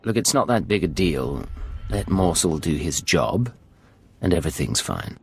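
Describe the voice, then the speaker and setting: Cool agent in a game, deep husky male voice, all business. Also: big pitch swings, normal speed, darkish tone, soft background music, exaggerated voice. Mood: cool, firm. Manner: controlled, matter-of-fact. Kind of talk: video game dialogue.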